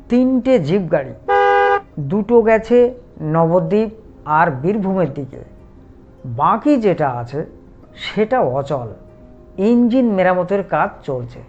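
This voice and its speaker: A man tells a scripted horror tale in the first person, taking his time over each phrase. His delivery is very slow and measured, with a dramatic storyteller's weight. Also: sits high, a little mellow, muffled recording. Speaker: narrator.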